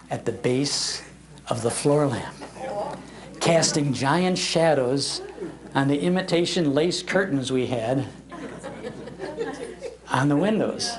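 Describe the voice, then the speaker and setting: An older man with an American accent giving a nostalgic talk in an emphatic storytelling manner. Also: fairly high pitch. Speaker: radio historian and broadcaster giving a talk. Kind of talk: nostalgic storytelling to a live audience. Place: public library talk.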